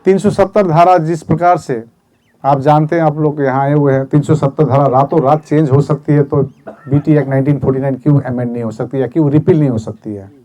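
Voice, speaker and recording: A man's voice: a little high, fairly even intonation, a little fast, dark tone. A man speaking as a movement spokesman at a press conference, earnestly setting out decisions one by one. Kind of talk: press statement.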